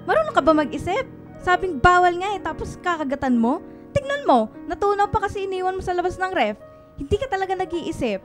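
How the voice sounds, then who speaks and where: High-pitched bratty Filipina teen. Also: female voice, sing-song, fairly quick, soft background music. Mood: angry, bratty. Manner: bratty, defensive. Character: snappish teenage girl, eldest daughter. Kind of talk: Tagalog radio drama acting.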